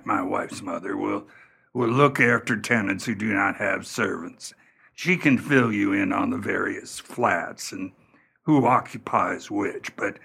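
An actor playing a fussy, anxious caretaker in a radio play, halting and repeating his words as he talks. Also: on the low side, vocal fry, pushed and bright, breathy edge, heavily acted.

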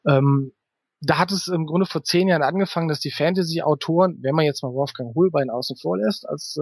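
A man with a fairly high voice answers interview questions casually. He explains thoughtfully, hesitating as he builds his sentences. The sound is close and dry. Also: a little fast, a little mellow, breathy edge, no echo. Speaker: interviewed fantasy author.

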